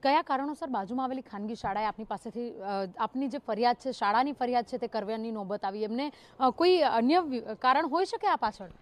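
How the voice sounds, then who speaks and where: A woman's voice: a little high, fairly quick, hardly any pauses. A woman reporting live news and putting questions as an interviewer.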